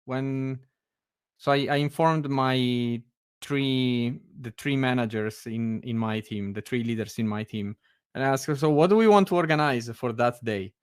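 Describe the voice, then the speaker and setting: A man's voice: medium pitch, measured pace, smooth, dry recording, clean studio sound. A man from Italy with an Italian accent, chatting casually on a video call and hesitating often with fillers and restarts. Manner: laughs often. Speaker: meetup guest. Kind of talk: casual chat.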